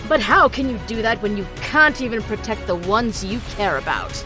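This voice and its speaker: A woman's voice: medium pitch, big pitch swings, normal speed, over music, lightly acted. A woman playing a menacing villain in an audio drama, taunting with mock sweetness and condescension. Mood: scornful. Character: mocking, menacing villain taunting a young magician.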